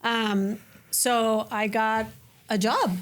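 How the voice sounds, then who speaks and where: A woman's voice: fairly high pitch, drawn-out words. A woman from Colombia, a native Spanish speaker, with a Colombian accent, chatting as an interview guest and acting out quoted voices. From Colombia (Cali). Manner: animated, restarts and acts out quoted voices. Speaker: guest, educator. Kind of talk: casual interview chat.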